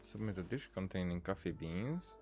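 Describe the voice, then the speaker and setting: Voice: sits low, animated, normal speed, a little mellow. A gaming streamer giving casual playthrough commentary and reading dialogue aloud, with game music behind.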